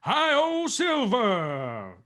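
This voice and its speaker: A man playing a steady, commanding masked cowboy hero in an old-time western radio drama. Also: extremely high pitch, extremely slow, exaggerated voice. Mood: rousing.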